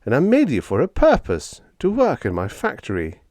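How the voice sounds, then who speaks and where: Man playing an exasperated, bossy boss, animated pitch. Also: moderate pace, no room sound, clean studio sound. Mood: indignant. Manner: indignant, emphatic. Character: exasperated male factory owner, bossy, incredulous. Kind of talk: audiobook character dialogue.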